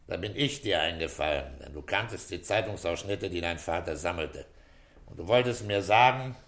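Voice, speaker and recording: An actor playing a calm, fatherly middle-aged police inspector in a German radio drama, speaking in an unhurried, coaxing, soothing way. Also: deep voice.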